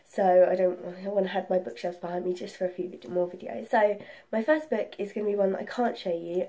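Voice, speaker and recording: A young woman chatting casually and excitedly about books as a vlog host, rambling and correcting herself, slightly congested with a cold. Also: mid-range voice. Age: young adult. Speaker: book reviewer and video host. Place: room at home.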